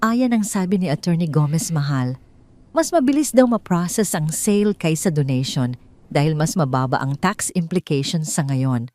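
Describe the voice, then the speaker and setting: Low-voiced, calculating, coaxing wife, acted by a woman. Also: lively intonation, moderate pace, darkish tone. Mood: calm persuasion. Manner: persuasive, reassuring. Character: calm, calculating wife coaxing her husband.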